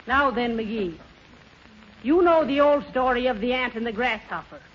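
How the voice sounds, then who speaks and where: A woman's voice: a little high, moderate pace, natural voice. A woman plays a practical wife who scolds in a folksy way. It is 1930s radio comedy acting. The recording is lo-fi and muffled, with slight noise underneath. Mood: scolding.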